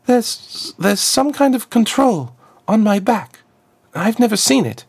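A desperate young woman bound in a brass frame, as a male narrator puts her on, her voice pitched very high and strained. It comes out loud and raspy, the sound of someone pleading through pain in an audiobook reading. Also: animated, slow, exaggerated voice. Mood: urgent.

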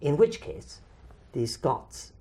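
A man from Ireland hosting a conversational discussion and answering viewer questions, with a measured, lively delivery and drawn-out emphasis. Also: fairly high pitch, vocal fry.

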